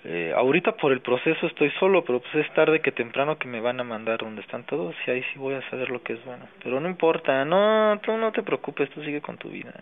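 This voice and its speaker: A Mexican man talking informally on a phone call, slangy, halting and resigned. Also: mid-range voice. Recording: noisy, narrow-band phone call.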